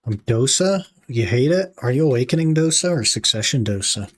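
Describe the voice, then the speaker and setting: A young man with a raspy voice, casually chatting to his stream audience while he plays. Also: medium pitch, fairly quick. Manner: relaxed, musing aloud while playing, talking to chat. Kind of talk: casual gaming livestream chat.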